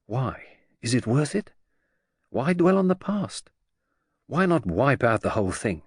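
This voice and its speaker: A man's voice: medium pitch, big pitch swings, measured pace, slightly rough, dry recording, studio quality, pitched above the performer's own voice. A British man voicing a restless, disgusted forty-year-old man in an audiobook, emphatic and exclamatory. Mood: doubting. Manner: emphatic, exclamatory, searching for words.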